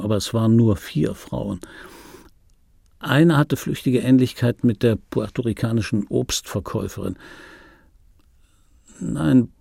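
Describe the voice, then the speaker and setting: An actor playing a disgruntled, wry middle-aged dubbing artist who tells his life in a rough voice, as a conversational radio play monologue. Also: mid-range voice, mellow, dry recording, studio quality. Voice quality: rough, gravelly. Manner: sardonic, conversational storytelling. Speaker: narrator and lead of a radio play.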